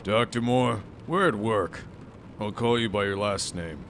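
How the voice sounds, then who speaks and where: A reserved, compassionate school official in an audio drama. His voice is on the low side, formal and serious. A faint rumble sits behind it. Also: a little slow, a bit bright.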